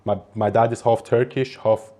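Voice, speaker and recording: A man's voice: mid-range voice, little pitch movement, normal speed, mellow. A man in his thirties from Iran, a political commentator giving long, earnest interview answers. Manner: earnest, fluent, talks at length.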